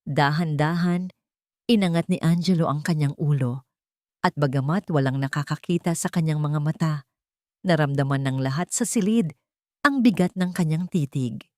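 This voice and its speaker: A woman narrates a scripted romance drama. Her voice sits low for a woman, and the sound is dry, with very little room in it. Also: moderate pace, dark tone, no echo. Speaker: storyteller narrator.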